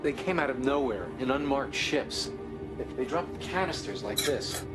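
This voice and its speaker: A man's voice: medium pitch, expressive, normal speed, loud music behind the voice, lightly acted. An actor playing a tense, embittered male survivor in a game drama, speaking curtly and folksily. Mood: bitter. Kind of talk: film drama acting.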